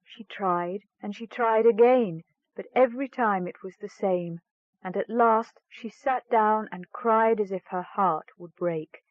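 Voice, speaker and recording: Casting: female fairy-tale narrator, mid-pitched, very dry narrow-band recording. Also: a little slow, no room sound. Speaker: storyteller.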